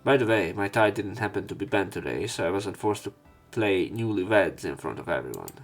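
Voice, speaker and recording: Low, creaky-voiced man narrating. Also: lively intonation, fairly quick, faint music behind. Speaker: let's play narrator. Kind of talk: reading visual novel narration aloud.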